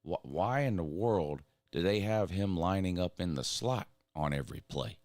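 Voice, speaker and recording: A man with a drawl and a very deep voice, worn raspy and hoarse. He rants bluntly and swears freely as a show host. The sound is dry and close. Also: lively intonation, moderate pace, darkish tone, no echo. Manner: blunt and profane, ranting. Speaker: show host reading chat. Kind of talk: casual sports rant.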